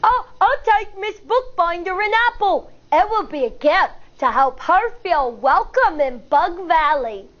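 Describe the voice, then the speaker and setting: Lady doing a squeaky excited little bug boy, storybook voice, kinda muffled. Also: a little slow, hardly any pauses. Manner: eager, bouncy, questioning.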